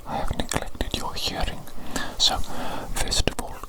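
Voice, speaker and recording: A woman whispering an ASMR medical roleplay as a fussy doctor, softly repeating her phrases in a breathy, unvoiced voice. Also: measured pace. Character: fussy evil doctor examining a creature. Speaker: ASMR performer playing a doctor.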